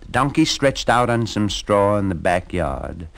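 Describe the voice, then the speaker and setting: Slow expressive man telling a story. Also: fairly low pitch, creaky. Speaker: narrator. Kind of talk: storybook narration for a shadow puppet show.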